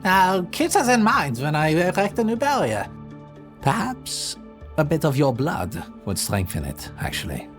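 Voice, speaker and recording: A man's voice: wildly swooping pitch, measured pace. A man voicing a brooding vampire lord, wildly lilting. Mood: calm, scheming. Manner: smooth, lilting delivery dropping into low menacing murmurs. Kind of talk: ASMR audio drama. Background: soft background music.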